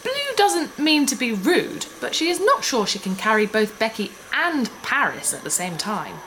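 A woman's voice: fairly high pitch, big pitch swings, moderate pace, fairly bright tone, slight background noise, poor audio. A woman voicing a childlike female creature who talks about herself in the third person. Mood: gentle.

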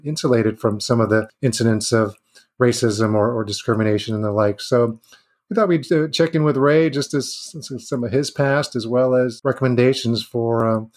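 A man's voice: mid-range voice, darkish tone. Male host, casual interviewer who wanders through his questions. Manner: loose, rambling phrasing. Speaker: podcast host. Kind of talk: conversational interview.

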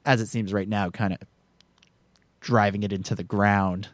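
A man's voice: fairly low pitch, level tone, normal speed, no echo. A man co-hosting a casual film discussion, hesitantly repeating and rephrasing his words as he thinks aloud. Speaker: podcast co-host.

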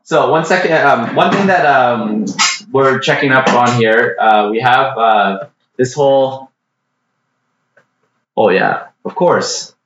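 A man's voice: mid-range voice, slow pace, a bit bright, slight background noise. A man hosting a casual chat, rambling with lots of filler words. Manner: rambling, heavy on "like" and "um". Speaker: podcast host. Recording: roomy, distant, dull.

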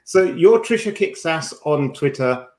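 A man's voice: a little high, animated, unhurried. A man hosting a podcast in casual banter, with a teasing, dryly joking way of talking. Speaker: podcast host. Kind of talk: casual banter on a news discussion show. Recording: roomy, echoey sound.

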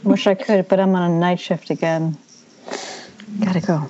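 A woman's voice: on the low side, level tone, moderate pace, warm tone, lo-fi sound, a little hiss or hum, lightly acted. A woman reading the part of a no-nonsense, skeptical young woman in an amateur screenplay table read over an internet call. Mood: subdued.

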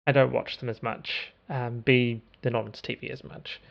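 Podcast co-host, New man, gravelly voice, hesitant rambling chat, muffled lo-fi audio. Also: mid-range voice, flat delivery, normal speed. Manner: hesitant, rambling, with many ums and restarts. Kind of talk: casual chat.

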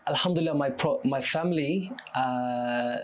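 A man's voice: medium pitch, moderate pace. A man in his twenties from Turkey with a Turkish accent, speaking English as a podcast guest in a conversational way. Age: 20s. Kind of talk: conversational interview answers.

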